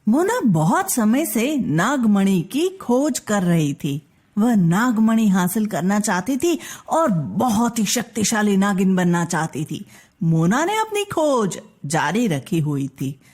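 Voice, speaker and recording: Slow, expressive woman storyteller. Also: mid-range voice. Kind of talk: scripted children's story narration.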